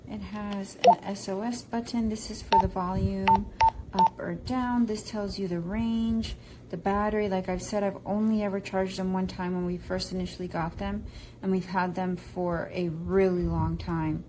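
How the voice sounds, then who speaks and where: A woman's voice: mid-range voice, steady tone, moderate pace, warm tone, slight background noise, poor audio. A woman casually demonstrating a product as a reviewer, talking through its features.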